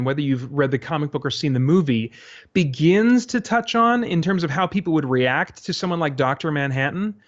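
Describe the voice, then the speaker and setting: A North American man talking casually as an interview guest, haltingly thinking aloud with false starts. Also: a little high. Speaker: interview guest, radio drama creator. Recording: dull, lo-fi audio.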